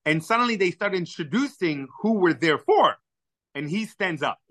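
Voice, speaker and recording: Bright-voiced man telling lively stories. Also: sits high, moderate pace, no room sound. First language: Hebrew. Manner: animated, enthusiastic storyteller. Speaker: podcast guest. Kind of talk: storytelling in conversation.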